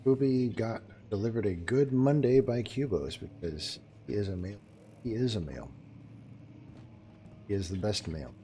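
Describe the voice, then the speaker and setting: A man's voice: on the low side, normal speed, warm tone, slight background noise. A man streaming a game live, chatting casually and joking with his viewers as he plays. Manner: chatty, joking, talks to chat while playing. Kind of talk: casual live gameplay commentary.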